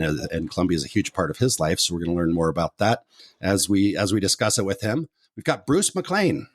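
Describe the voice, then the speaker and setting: A man hosting a travel podcast, chatty and upbeat, with frequent restarts. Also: on the low side. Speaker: podcast host. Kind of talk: scripted intro then casual interview.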